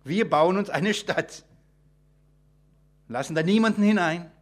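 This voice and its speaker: A man's voice: high-pitched, measured pace. A man preaching an extemporaneous sermon, emphatic and full of rhetorical questions and repetition, often restarting his sentences.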